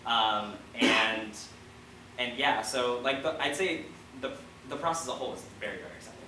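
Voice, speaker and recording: A man's voice: mid-range voice, normal speed, sharp tone. A man in his twenties speaking casually and hesitantly as a student playwright in a panel discussion, with lots of filler "like". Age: 20s. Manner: hesitant, informal phrasing with frequent "like". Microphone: distant microphone. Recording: roomy, distant, faint noise.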